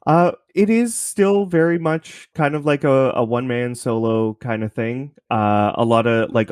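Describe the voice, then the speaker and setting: A man's voice: on the low side, darkish tone, no room sound, studio quality. A man talking casually as a podcast guest, explaining things in a rambling, self-correcting way. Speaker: podcast creator, interview guest. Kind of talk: casual interview chat.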